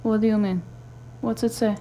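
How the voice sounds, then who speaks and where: A woman's voice: fairly high pitch, fairly even intonation, normal speed, dark tone, a little hiss or hum, natural voice. A woman playing a tough, blunt, sardonic investigator's partner in a cyberpunk noir audio drama. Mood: dry, scornful. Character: tough, foul-mouthed, sardonic female cyberpunk partner in crime.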